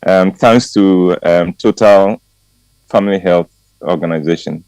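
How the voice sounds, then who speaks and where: A man in his sixties from Ghana, with a Ghanaian accent, chatting on morning radio and reading a reflective commentary in a measured way with hesitant ums. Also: sits low, a little mellow, smooth, no room sound, low-quality recording. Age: 60s. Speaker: regular commentary guest. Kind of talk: warm radio chat and read commentary.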